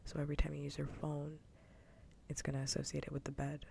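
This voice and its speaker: A woman whispers gently in a breathy, mellow voice with a crackly, creaky edge. She chats casually and unhurriedly as an ASMR livestream host, close to the microphone over a faint low rumble. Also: monotone, fast.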